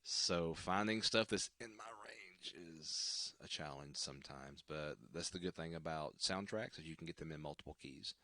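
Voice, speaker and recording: A man with a deep baritone voice, worn out and scratchy, chatting haltingly to viewers at the end of a worship livestream from home. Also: bright, forceful tone, no room sound. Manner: halting, many ums and restarts. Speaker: worship singer hosting a livestream. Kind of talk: casual livestream sign-off chat.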